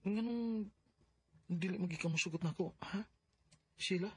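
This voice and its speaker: An actor playing an earnest, insecure young suitor in a Filipino radio drama, with a husky voice and a Bisaya accent. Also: male voice, sits high, a little slow, dry recording, heavily acted. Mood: pleading.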